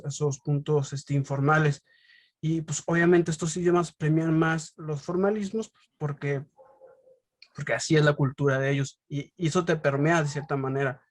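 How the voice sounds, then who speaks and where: A man with a Mexican accent hosting a casual interview, explaining his points haltingly and repeating words as he goes. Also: a little high.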